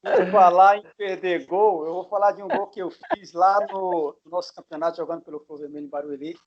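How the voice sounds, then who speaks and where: Friendly high-voiced man interviewing. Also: steady tone, a little fast, crowd noise. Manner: friendly, calls guest "my dear". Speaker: interviewer, host. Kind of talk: casual interview. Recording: dull lo-fi sound.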